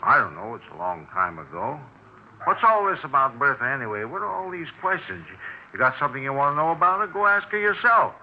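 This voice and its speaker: Male actor as gruff defensive landlord, lively intonation, evasive, folksy speech, 1950s radio drama, muffled recording. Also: mid-range voice, fast, a little hiss or hum, natural voice. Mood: irritable, defensive. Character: surly, defensive landlord stonewalling police.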